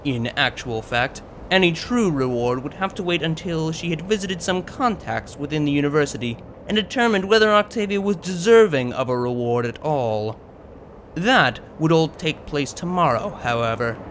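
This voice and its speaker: Higher-pitched male audiobook narrator. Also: lively intonation, normal speed, fairly bright tone, a little hiss or hum. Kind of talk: scripted story narration.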